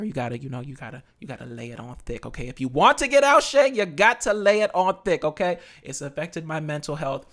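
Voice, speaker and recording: A man's voice: medium pitch, fairly bright tone. A man hosting a podcast, giving casual advice with lots of "you know" and "right?" asides. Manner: conversational, coaching, many "you know" and "right?" tags.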